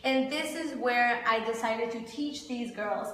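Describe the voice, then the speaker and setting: A Spanish-speaking woman from Colombia, an interview guest chatting casually in an animated, encouraging, effusive way. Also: on the high side, measured pace, a little hiss or hum. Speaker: interview guest, pageant director. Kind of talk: casual motivational chat. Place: echoey indoor clothing shop. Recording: very echoey hall-like room.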